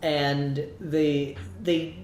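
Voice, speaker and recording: A man's voice: a little high, little pitch movement, drawn-out words, a little mellow. A man co-hosting a casual review discussion, rambling a little as he thinks aloud. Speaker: podcast co-host. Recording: roomy echo, faint background noise.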